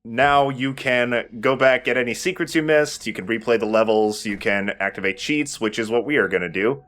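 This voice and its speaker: A man's voice: medium pitch, normal speed, a bit bright. A man hosting a let's play, chatting casually about a game and often rephrasing himself.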